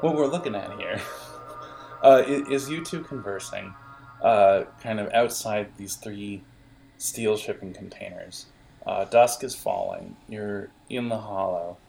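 A man with a fairly deep voice that often drops into vocal fry. He acts as game master, describing scenes off the cuff and pausing as he searches for words. Soft music plays behind him. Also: poor audio. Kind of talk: improvised tabletop game narration.

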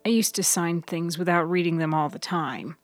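A woman voicing a weary, frail, troubled woman in a hospital bed, halting and strained, in a story podcast. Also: lowish voice, faint music behind, lightly acted. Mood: weary, pondering. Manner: halting, strained, sometimes harsh. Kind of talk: serial fiction audio storytelling.

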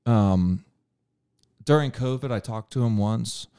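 Low raspy-fry guy, cincinnati, blunt deadpan swearing, dry close mic. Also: slow, no room sound. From Cincinnati area, USA. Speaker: podcast guest, musician. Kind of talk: casual chat.

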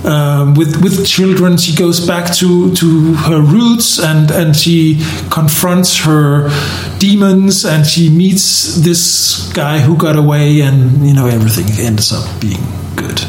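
A man chatting casually and telling stories in an animated way. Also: a little high, takes it slowly, few pauses. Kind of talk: casual chat. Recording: echoey room with background noise.

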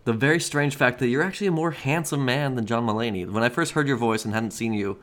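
A man's voice: mid-range voice, fast talker, a bit bright. A man co-hosting a comedy podcast, chatting casually with dry, teasing humour. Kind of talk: casual chat.

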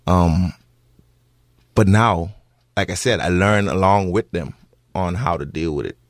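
Low-voiced Bahamian man, hesitant. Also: no echo, studio quality. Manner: hesitant, many pauses and restarts. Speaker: interviewee, parent. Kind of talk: earnest interview answers.

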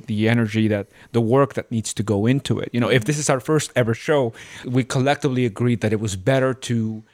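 A man from a theater company speaking in a podcast discussion, choosing his words in a measured, careful way. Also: medium pitch, a little mellow.

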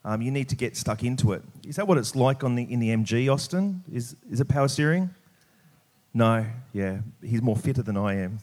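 A man's voice: mid-range voice, expressive, fairly quick, a little mellow. A middle-aged Australian man preaching at church in an informal, plain-spoken, conversational way. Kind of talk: church sermon with prayer, scripture reading and casual anecdotes.

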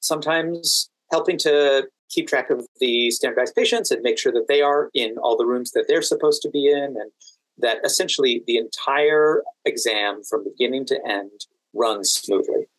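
A man's voice: mid-range voice, moderate pace, a bit bright, no room sound, poor audio. A man from Washington, D.C., explaining his work as an interview guest in an articulate, methodical, conversational way. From Washington, D.C., United States. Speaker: podcast guest, exam event manager and educator.

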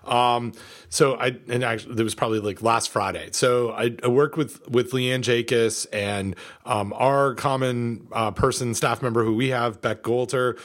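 A middle-aged man co-hosting a podcast, chatting casually with many fillers, pauses and restarts. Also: medium pitch. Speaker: podcast co-host.

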